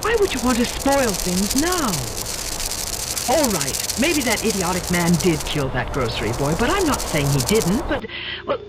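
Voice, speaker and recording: Coaxing young fiancée, lively swooping intonation. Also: female voice, medium pitch, unhurried, heavy background noise. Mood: coaxing, exasperated. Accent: American. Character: lawyer's coaxing, sharp-tongued young fiancée, a woman. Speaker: radio drama actor. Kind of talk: old-time radio drama acting. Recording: vintage radio broadcast, loud music behind.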